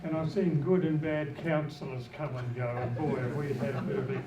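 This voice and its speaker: An older man with a hoarse voice, speaking as a resident at a public meeting, blunt and rambling. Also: mid-range voice, steady tone, fairly quick, recorded from a distance, a loud crowd. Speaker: resident asking questions. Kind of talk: public meeting question from the floor. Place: civic centre meeting hall. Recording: echoey hall, noisy audience.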